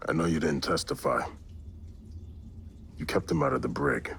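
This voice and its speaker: An actor playing a calm, clipped special operations soldier in a game cutscene. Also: male voice, extremely low pitch, moderate pace, vocal fry, slight background noise, full character voice. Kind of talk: video game cutscene acting.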